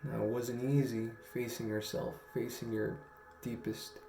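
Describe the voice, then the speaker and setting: Slow, gravelly young man, mellow and reflective. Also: medium pitch, little pitch movement, a little hiss or hum. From Guam. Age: young adult. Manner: slow, halting, earnest, with long pauses. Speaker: storytelling vlogger. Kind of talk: reflective personal talk to camera. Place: small room at home, indoors. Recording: roomy echo, faint music.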